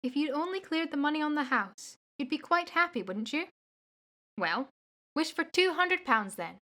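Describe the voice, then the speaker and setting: Woman playing a high, bright, cheeky daughter. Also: animated, moderate pace. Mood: playful, eager. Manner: playful, pert. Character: cheeky, teasing grown-up daughter. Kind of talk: radio play acting.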